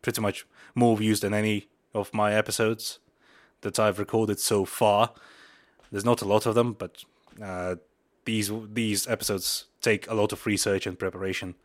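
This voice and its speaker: Low-voiced guy, mongolian first language, rambly podcast intro w lots of uhs. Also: flat delivery, moderate pace. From Buryatia, Russia. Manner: rambling, many fillers and restarts. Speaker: podcast host.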